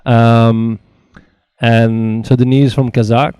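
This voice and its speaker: A man hosting an interview, speaking in a relaxed, halting way with many fillers. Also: medium pitch, clear, resonant tone, no echo. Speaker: host. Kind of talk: casual interview.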